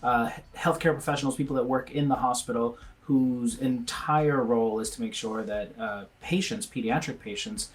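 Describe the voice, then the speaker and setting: A man from Venezuela, a pediatrician guest on a video call, with a voice at an ordinary middle pitch. He talks earnestly and thinks aloud as he goes, often restarting his sentences. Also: moderate pace, few pauses. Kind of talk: conversational interview answers.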